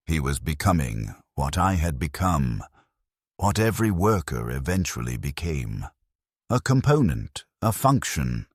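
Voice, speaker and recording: A man reading a scripted first-person science-fiction story as a dramatic narrator, grave and measured in his delivery. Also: extremely low pitch, no echo, clean studio sound.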